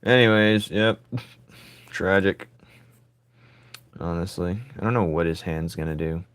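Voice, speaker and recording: A man with a raspy voice streaming his drawing, thinking aloud in casual chat and joking with viewers. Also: sits low, unhurried, a bit bright, no echo.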